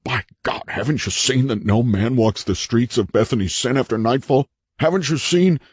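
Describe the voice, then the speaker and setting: A man voicing a terrified, half-mad character in an audiobook, hoarse and strained, pleading and urgent as he gives his warnings. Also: medium pitch, normal speed, no room sound, studio quality, voice pushed up, lightly acted. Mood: fearful warning.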